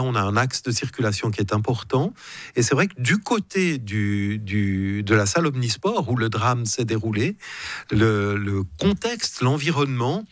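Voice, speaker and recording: A man's voice: mid-range voice, no echo. Hesitant man. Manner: measured, hesitant, many fillers and restarts. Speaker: council president interviewed as guest. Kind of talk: radio interview.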